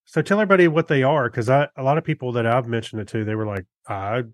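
A man with an average-pitched voice, recorded dry and close. He co-hosts a casual tech podcast and talks haltingly, working out his thoughts aloud as he goes. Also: dry recording. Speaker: podcast co-host.

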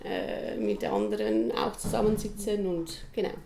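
A woman's voice: fairly low pitch, sing-song, a little slow, creaky, mellow, roomy sound, a little hiss or hum. A woman describing her conversation group in a chatty way. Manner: chatty, with fillers.

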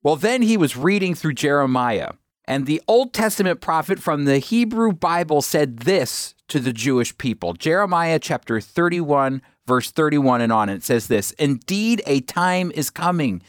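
A man's voice: expressive, moderate pace, dry recording, clean studio sound. A man hosting a radio program and teaching the Bible in an earnest, emphatic way, lifting his voice on key points. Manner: earnest, emphatic, with pitch climbing on key phrases.

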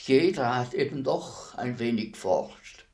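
A state executioner in a radio drama. He is a man with a medium-pitched voice who speaks plainly and briefly. His manner is courteous and servile, quietly humble about his grim trade. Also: expressive, slow. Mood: humble, subdued. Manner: deferential, plain, terse. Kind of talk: German radio play acting.

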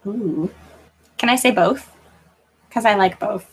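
A woman's voice: mid-range voice, takes it slowly, slightly rough. A woman chatting casually as a guest author in an interview, informal and self-deprecating in manner.

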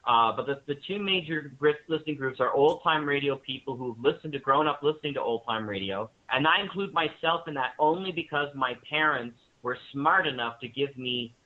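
A man's voice: mid-range voice, brisk, few pauses. A man speaking as a guest in a panel interview, talking enthusiastically and emphatically about what he admires. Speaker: guest, radio drama maker. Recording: thin phone-like line.

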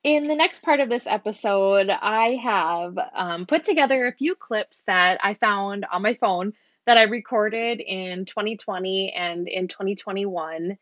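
A woman hosting her own parenting podcast, talking casually with frequent soft laughs and ums. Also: mid-range voice, measured pace, dull audio. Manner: relaxed, laughs often, many ums. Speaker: podcast host. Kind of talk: casual solo podcast talk.

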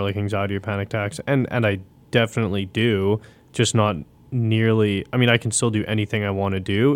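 A man guesting on a podcast with a smooth, resonant voice, a bit low and fairly flat. He speaks reflectively and haltingly and often restarts his sentences. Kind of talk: casual interview, telling his own story.

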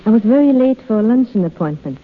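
Elegant swindler countess, cool and dismissive, teasing, 1930s radio drama, dull vintage broadcast sound. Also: female voice, a little high, animated, quick pace. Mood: charming, coy.

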